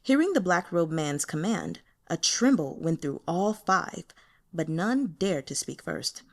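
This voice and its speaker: Female audiobook reader, fantasy narration, animated and varied intonation, slightly low-pitched to middling voice. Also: measured pace. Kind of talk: scripted narration of a translated fantasy novel.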